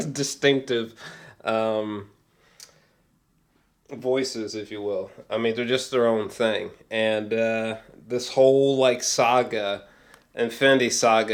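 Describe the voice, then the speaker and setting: A man chatting casually as an amateur film reviewer, geeky and gushing, swearing freely and often stumbling back over his words. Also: mid-range voice. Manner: halting, repeats himself, often breaks into chuckles.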